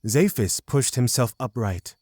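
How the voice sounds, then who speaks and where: Dry, mid-pitched male story narrator. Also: lively intonation, a little slow, darkish tone, no room sound.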